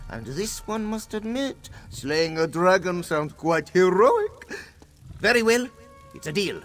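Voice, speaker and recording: A man's voice: high-pitched, fairly bright tone, exaggerated voice. A man voicing a boastful, theatrical cat-man pirate captain in a video game, honorable and given to speaking of himself in the third person.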